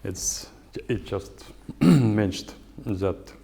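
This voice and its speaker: A man in his sixties with an Estonian accent, giving a lecture as a guitar professor in hesitant English with many fillers and pauses. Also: lowish voice, lively intonation, warm tone. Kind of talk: lecture with halting non-native English. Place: lecture hall at a music academy.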